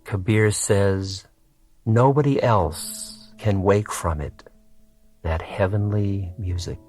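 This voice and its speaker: A man giving a meditative reading of spiritual poetry, with spacious phrasing and line endings that fall away quietly. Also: on the low side, slow pace. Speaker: poetry reader. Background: soft guitar music.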